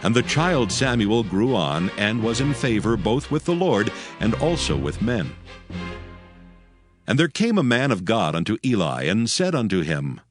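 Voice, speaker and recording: A male narrator reads scripture in a slightly low voice with very varied intonation. It is a scripted, dramatized audio Bible, with faint music underneath. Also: sing-song, a little slow.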